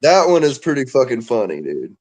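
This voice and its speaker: A man in his thirties, a co-host in casual chat with friends, loose and profane and quick to laugh. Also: mid-range voice, wildly swooping pitch, a crowd in the background. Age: 30s. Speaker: podcast co-host.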